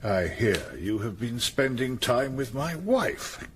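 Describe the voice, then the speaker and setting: Slow, imperious old king. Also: mid-range voice, sing-song, slight background noise. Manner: imperious, mockingly courteous. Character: huge, ailing, menacing old Tudor king. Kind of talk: radio drama acting.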